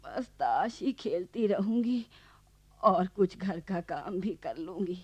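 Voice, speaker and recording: Casting: good-natured elderly rural grandma, radio play; slow, homely delivery, muffled lo-fi recording. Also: female voice, lowish voice, lively intonation, breathy edge, heavily acted. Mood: affectionate, weary. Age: older. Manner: homely, affectionate, mildly protesting. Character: simple, good-natured village grandmother devoted to card games. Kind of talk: Hindi radio play acting. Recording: dull lo-fi archival radio recording.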